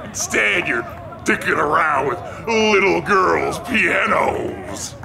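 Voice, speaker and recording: An actor playing a crude, thuggish bully with a coarse, taunting drawl in a comic audio drama. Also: male voice, a little high, expressive, takes it slowly, creaky, raised voice, crowd noise, heavily acted. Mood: menacing, taunting. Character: thuggish, crude male bully with a shoe fetish. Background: music.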